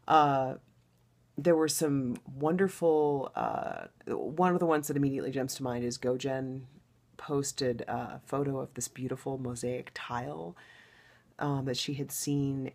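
A middle-aged woman hosting her own podcast, chatting in a rambling way with a low, husky voice from a recent loud wedding, recorded at home. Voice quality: low baritone voice. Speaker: podcast host. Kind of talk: casual podcast monologue.

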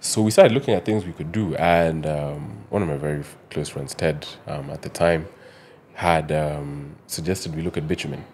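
A Kenyan man in his thirties, a podcast guest explaining things in a measured way, often adding "you know", recorded on a studio mic. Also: very deep voice, a little mellow, a little hiss or hum. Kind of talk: conversational interview. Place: studio with neon sign, indoors. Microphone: podcast mic on boom arm.

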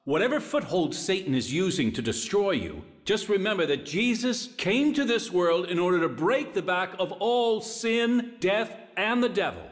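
Fired up preacher in audio drama, really animated, emphatic, long church-like reverb. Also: male voice, high-pitched, normal speed, hardly any pauses. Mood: fervent. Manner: emphatic, rhetorical. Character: fervent male preacher urging repentance. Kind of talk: dramatic sermon in a radio play.

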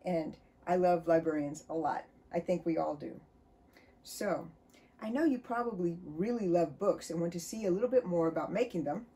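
A middle-aged woman from the United States, a children's author, chatting and reading a storybook aloud in her book-filled home studio with a cheerful, expressive storytime manner. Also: on the low side, normal speed. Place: home studio full of books, indoors.

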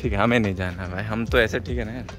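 A man's voice: on the low side, fast talker. Chatty young man vlogging outdoors. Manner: chatty, joking, asks lots of questions. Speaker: vlogger giving a hostel tour. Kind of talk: casual walking vlog chat. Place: outdoors on a university campus. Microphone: handheld action camera at arm's length.

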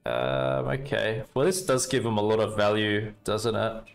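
A young Australian man casually commenting on his card game and chatting with viewers, relaxed and talkative. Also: fairly low pitch, unhurried, creaky, soft background music. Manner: relaxed, chatty, talks to chat while playing. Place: indoor room at a desk.

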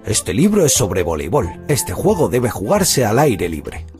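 Cartoon hippo father: helpful, thoughtful, hugely expressive swings in pitch, music behind. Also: unhurried. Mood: helpful, explaining. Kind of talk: children's cartoon voice acting.

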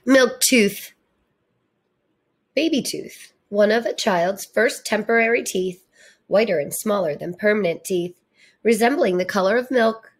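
A woman reading a storybook aloud for children in a deliberate, expressive manner. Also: mid-range voice. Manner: deliberate, animated delivery. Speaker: storybook reader, teacher.

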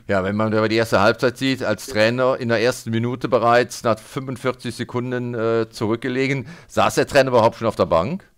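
A man's voice: medium pitch, steady tone, brisk, few pauses, smooth. A man working as a sports radio interviewer, putting questions to a guest after a match.

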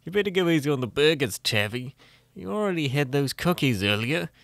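A man's voice: fairly high pitch, sing-song, a little fast, creaky, a bit bright, no room sound, exaggerated voice. A man voicing a smug, controlling French boyfriend in a comic dub, bossy and patronising. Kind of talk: comic dub character acting.

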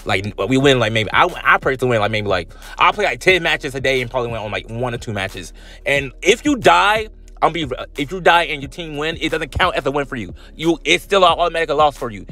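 A man's voice: mid-range voice, lively intonation, fairly quick, bright, forceful tone, faint music behind. A man talking casually over gameplay footage as the channel's host, in a drowsy, loose way with lots of fillers and slang.

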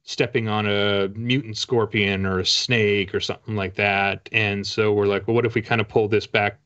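A middle-aged man with a fairly low voice, chatting as a guest on a video call. He is wry and a little hesitant as he explains things. The sound is somewhat dull and lo-fi. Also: flat delivery, moderate pace, fairly bright tone, clean tone. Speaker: guest, author. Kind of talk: casual interview talk. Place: book-lined room at home.

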